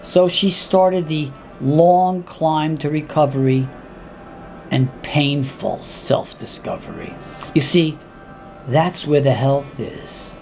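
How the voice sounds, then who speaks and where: A man reading his own dramatic monologue in a deliberate, intense spoken-word style, with weighty pauses and repeated words. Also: a little high, a little mellow, faint music behind.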